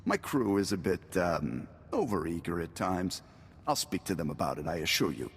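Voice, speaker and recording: An actor playing a wry, polite but calculating pirate leader in a video game, speaking with measured hesitations. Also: male voice, deep voice, very animated, husky, in a large hall, heavily acted. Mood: wry, placating. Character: smooth-talking male pirate leader, wry, bargaining, calculating.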